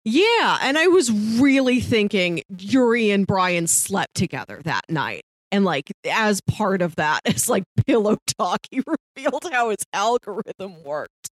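Female podcast co-host, mid-range voice, dry studio-like sound. Casual, conversational, animated storyteller who acts out quotes. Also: mid-range voice, unhurried, few pauses, dry recording. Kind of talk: casual discussion of a TV series.

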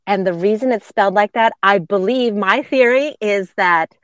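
A woman's voice: medium pitch, normal speed, dry recording, clean studio sound. A woman co-hosting a podcast, chatting casually and explaining pronunciation with frequent fillers and laughs. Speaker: podcast co-host.

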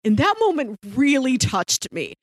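A woman's voice: high-pitched, big pitch swings, measured pace, no echo. A woman co-hosting a casual podcast discussion of a TV show, talking in an enthusiastic, appreciative way. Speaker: podcast co-host.

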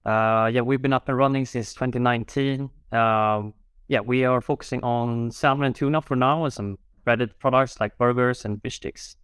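A man's voice: mid-range voice, steady tone, normal speed, fairly bright tone, clear, resonant tone, no echo. A man, a company co-founder, introducing himself casually on a panel with many fillers.

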